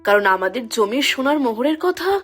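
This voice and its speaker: Woman playing a lively, high-voiced cartoon village wife who exclaims a lot. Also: a little fast, faint music behind. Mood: calm. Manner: exclaiming, expressive. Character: worried young village wife, emotional.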